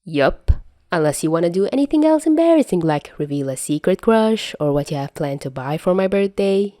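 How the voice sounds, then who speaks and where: A woman's voice: fairly low pitch, very animated, brisk, dark tone, no room sound, clean studio sound. A woman playing a fondly exasperated, protective fatherly man in an audio story, teasing and soothing.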